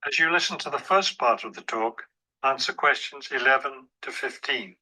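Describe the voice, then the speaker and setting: Very bright-voiced male exam narrator. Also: medium pitch, normal speed, low-quality recording. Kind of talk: scripted test instructions.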